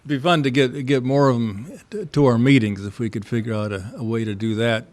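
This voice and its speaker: A man's voice: medium pitch, fairly quick. A man chairing a club meeting informally, speaking in a polite, hesitant and rambling way.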